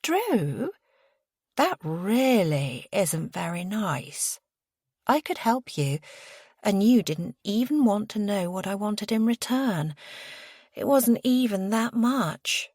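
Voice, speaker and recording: A woman's voice: medium pitch, very animated, measured pace, dry recording, clean studio sound. A woman voicing a brash young female schemer in an audiobook, gushing, taunting and smug. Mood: smug, scheming.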